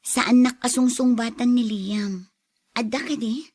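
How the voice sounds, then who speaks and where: A woman's voice: fairly high pitch, fairly even intonation, measured pace, a little mellow, no room sound. A woman acting a troubled young daughter in love in a radio drama. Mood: concerned.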